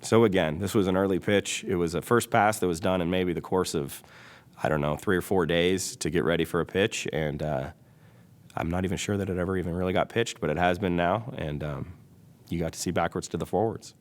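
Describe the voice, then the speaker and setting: A man casually narrating an animated story pitch, acting out bits as he goes. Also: fairly quick. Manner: acts out character voices and cries while telling the story.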